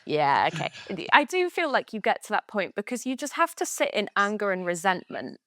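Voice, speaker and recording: A woman's voice: medium pitch, big pitch swings, brisk, fairly bright tone, no echo, clean studio sound. Chatty Welsh woman podcast host. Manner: chatty, enthusiastic, laughs easily. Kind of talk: casual podcast interview.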